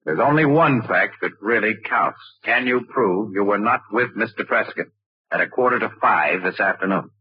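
A man's voice: on the low side, moderate pace, slightly rough. A man acting a brash, wisecracking crime photographer in 1940s radio style, slangy, pushy and sardonic. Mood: hard-nosed. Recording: muffled, roomy old radio recording.

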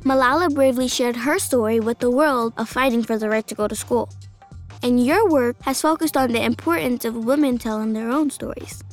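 A woman's voice: fairly high pitch, a little fast, faint music behind. A woman hosting a scripted interview show made for children. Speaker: podcast host.

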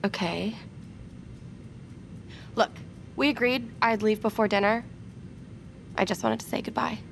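A woman's voice: mid-range voice, measured pace, slight background noise, natural voice. An actress playing a concerned, reluctant woman assistant in a modern audio drama. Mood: concerned, hesitant. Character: concerned, reluctant female assistant saying farewell.

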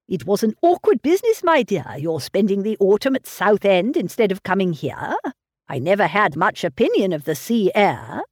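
A woman reading aloud voices a fussy, anxious elderly gentleman fretting over his grown daughter. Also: medium pitch, sing-song, normal speed, dry recording, studio quality. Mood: fretful. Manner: fretful, coddling. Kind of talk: audiobook character reading.

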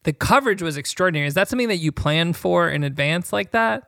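A man with a voice a little higher than most, captured very dry. He talks casually and conversationally, as a co-host who puts questions and reacts to what he hears. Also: lively intonation, quick pace, gravelly, fairly bright tone, no room sound. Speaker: podcast co-host asking questions.

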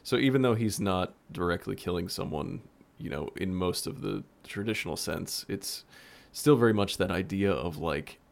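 A man's voice: sits low, moderate pace, gravelly. A man in a casual roundtable chat, explaining things in an analytical way as a show creator.

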